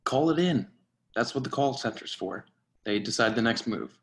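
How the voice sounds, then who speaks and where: A man acting a dry, by-the-book surveillance agent and mentor in a screenplay reading, with a measured, instructive delivery. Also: medium pitch, animated, gravelly. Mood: insistent.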